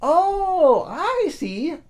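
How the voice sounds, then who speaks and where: A male storyteller voicing a foolish, forgetful young servant boy in a high, eager voice. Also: sing-song, extremely slow, full character voice. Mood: eager, innocent. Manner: eager, high squeaky boyish voice. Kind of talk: storyteller's character voice.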